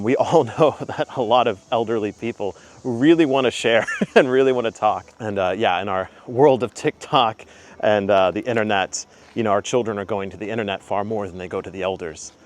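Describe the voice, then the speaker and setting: Earnest young man, low voice. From United States. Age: young adult. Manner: earnest, heartfelt, with frequent um and uh. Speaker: channel host. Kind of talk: casual spiritual advice talk.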